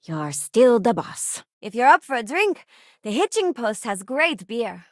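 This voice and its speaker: A woman's voice: a little high, sing-song, measured pace, no room sound. A young working-class woman aboard a starship in a science fiction game. She is principled and self-assured, with an earnest, plain-spoken delivery. The sound is dry, with no room around the voice. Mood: friendly, upbeat. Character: principled working-class woman spacefarer, confident, idealistic crew companion. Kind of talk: video game companion voice lines.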